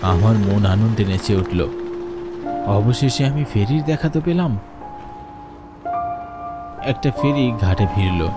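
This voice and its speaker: A man playing an earnest young peddler who narrates a ghostly cartoon horror story in an expressive, dramatic way. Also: fairly low pitch, takes it slowly, pauses often. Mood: suspenseful narration. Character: earnest young male cloth peddler narrating his ghostly ferry journey. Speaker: first-person narrator. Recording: music behind the voice.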